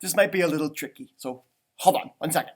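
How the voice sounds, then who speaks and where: A man hosting a storytime for children, reading and chatting in a theatrical, halting, conversational way. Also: on the high side, heavy background noise. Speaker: storytime host and teacher. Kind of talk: children's storybook read-aloud with chatty asides.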